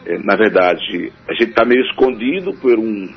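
A man's voice: fairly low pitch, moderate pace, husky. A man, a forró singer guest answering in a radio interview in a rambling, hesitant way full of fillers. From Northeast Brazil. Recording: dull radio broadcast, faint music.

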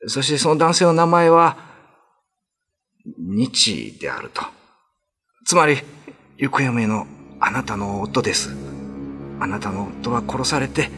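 A man's voice: medium pitch, expressive, a little slow, pauses often, bright, forceful tone, long reverb tail, faint music behind. An actor playing a deferential male servant in a radio drama, speaking in a formal, hesitant, confiding manner. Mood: grave, confiding. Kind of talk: Japanese radio drama acting.

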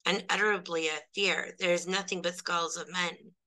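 A woman's voice: on the low side, steady tone, moderate pace, bright, forceful tone. A woman reading as a terrified male pilgrim. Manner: fearful, pleading.

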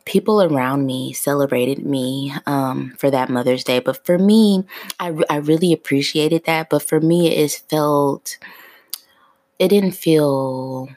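A woman in her thirties hosting her own podcast in a relaxed, free-flowing chat, her voice still sleepy in the morning. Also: low-pitched, a little slow, dry recording. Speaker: podcast host. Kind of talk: casual solo podcast chat.

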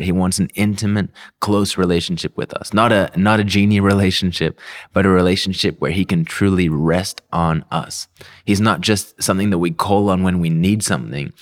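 A young man with an Australian accent giving an earnest devotional sermon, telling a personal story. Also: sits low. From Melbourne, Australia. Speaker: pastor.

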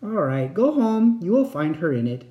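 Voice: mid-range voice, big pitch swings, moderate pace, lo-fi sound, lightly acted. Storyteller voicing a stern magic fish, weighty and echoing. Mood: commanding. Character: magic male flounder, commanding, stern, increasingly angry. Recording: roomy, echoing sound.

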